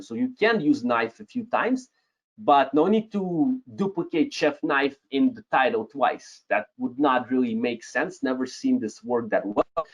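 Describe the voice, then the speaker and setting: A Russian-accented man in his thirties leads a live online class, his voice sitting a little high for a man. He keeps checking in with viewers, tags his points with "yes?" and chuckles between them. The webcam sound is a bit dull and lo-fi. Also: breathy edge. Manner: lively, talks to the chat, frequent "yes?" tags and chuckles. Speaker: webinar host, Amazon seller coach. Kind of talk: live webinar teaching. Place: home room, indoors. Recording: slightly dull lo-fi webcam audio.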